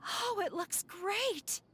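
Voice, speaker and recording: A woman voicing a friendly young townswoman in a video game, with an animated, gushing way of talking. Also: very high-pitched, heavily acted.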